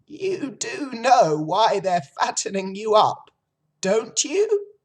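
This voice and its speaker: Lady doing a grumpy old sheep from a storybook, high voice, blunt and emphatic, knows it all. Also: sing-song, slow pace, breathy edge, exaggerated voice. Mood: smug. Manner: blunt, emphatic, matter-of-fact. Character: blunt, snappish old female sheep, grimly knowing. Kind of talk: storybook character voice.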